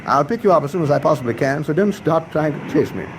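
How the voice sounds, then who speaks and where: A wartime British naval captain in a radio drama. He talks fast in a slightly high, clipped voice, composed and used to command. Clear engine and sea noise runs behind him. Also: animated. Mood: steady, instructive. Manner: clipped, authoritative, courteous.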